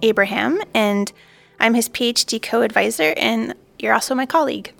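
Woman in her thirties with a gravelly edge. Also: on the high side, moderate pace, fairly bright tone, no echo, faint music behind. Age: 30s. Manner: curious, asks questions. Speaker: PhD co-advisor, interviewer. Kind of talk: casual conversation.

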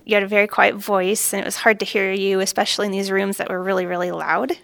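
A woman in her late thirties asking her colleague curious questions. Her voice is mid-pitched with a gravelly fry, recorded close and dry. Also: level tone, quick pace, fairly bright tone, no room sound. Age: 30s. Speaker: PhD co-advisor, interviewer. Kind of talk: casual conversation.

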